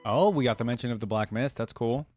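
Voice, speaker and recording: A man's voice: medium pitch, fast talker, darkish tone, faint music behind. A man giving casual reaction commentary as a video host, rambling as he thinks aloud. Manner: rambling, thinks aloud, repeats "like" and "you know".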